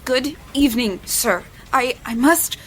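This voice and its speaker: A woman's voice: high-pitched, expressive, a bit bright, a little hiss or hum. A woman playing an anxious, bewildered young farm girl in an audio drama, both speaking and narrating. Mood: frightened. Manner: halting, breathless, anxious stammering. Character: bewildered, frightened farm girl trapped in another woman's body. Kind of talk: audio drama acting and first-person narration.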